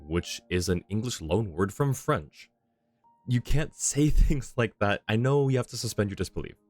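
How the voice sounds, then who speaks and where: A man with a low-pitched voice gives casual livestream commentary on a game. He muses aloud and reasons things through with viewers, over faint music. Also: darkish tone. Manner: musing, thinking aloud, analytical. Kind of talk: casual live-stream commentary, chatting with viewers.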